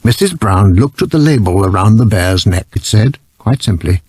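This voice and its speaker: A man's voice: fairly low pitch, lively intonation, normal speed, a little mellow, husky, no room sound. A man narrating a children's story from a script, as an audiobook reader.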